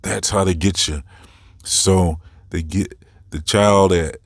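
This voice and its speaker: Deep-voiced man giving informal commentary. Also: fairly even intonation, takes it slowly, gravelly, no room sound, studio quality. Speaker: host of a commentary series. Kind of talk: informal opinion commentary, unscripted.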